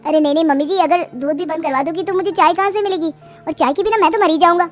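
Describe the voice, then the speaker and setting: A woman's voice: very high-pitched, fast talker. A woman voicing a lazy, greedy young son-in-law in a story, wheedling and eager. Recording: music behind the voice.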